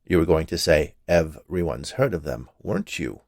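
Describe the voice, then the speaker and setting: A man's voice: rumbling low, expressive, moderate pace, darkish tone, no echo, clean studio sound, pitched below the performer's own voice, full character voice. A man voicing a stern, fussy small health inspector with a curt, pedantic manner in a storybook tale. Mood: irritated. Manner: officious, pedantic, curt, defensive.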